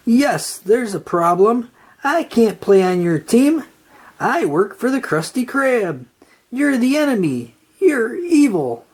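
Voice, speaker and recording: A storybook reader voicing an excitable, earnest, nervous young male cartoon sea sponge. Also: mid-range voice, slow pace, breathy edge, voice pushed up, full character voice. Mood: indignant, sputtering. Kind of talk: children's storybook character read-aloud.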